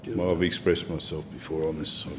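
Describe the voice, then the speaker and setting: A man's voice: very deep voice, little pitch movement, a little fast, background noise. A man making remarks as a committee member, plain-spoken and a little weary. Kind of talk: parliamentary remarks. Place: committee hearing room.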